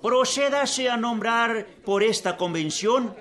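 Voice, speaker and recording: An actor playing a solemn convention delegate, declaiming formal resolutions deliberately and emphatically in a historical radio drama. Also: male voice, very high voice, a crowd in the background. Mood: formal, declaring.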